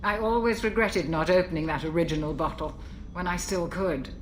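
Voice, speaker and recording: A woman playing a seasoned, caring military doctor in a video game, with a mature, measured voice and a wry, reflective way of talking. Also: lowish voice, a little hiss or hum, close to an everyday voice. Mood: pleased, warm. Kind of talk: video game cutscene acting.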